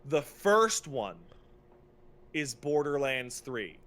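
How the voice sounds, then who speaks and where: A man's voice: medium pitch, big pitch swings, drawn-out words, crowd noise. A man co-hosting a podcast, ranting casually and comedically about games, exasperated and emphatic, stretching words for effect. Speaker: podcast co-host and game streamer.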